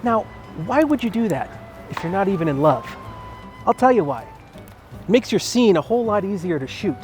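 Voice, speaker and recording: A man's voice: high voice, very animated, moderate pace, a little mellow, soft background music. A man delivering a scripted comedic video essay as a film reviewer, in a sardonic, emphatic and informal way.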